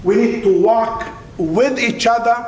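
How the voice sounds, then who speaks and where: Male imam, high register, Arabic accent, deliberate emphatic oratory, heard from off-mic with slight noise. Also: darkish tone. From Middle East, Palestinian Jordanian. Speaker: imam and university teacher speaking at a dinner. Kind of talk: inspirational religious speech. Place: large dinner banquet room. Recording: roomy, distant mic, faint rumbling noise.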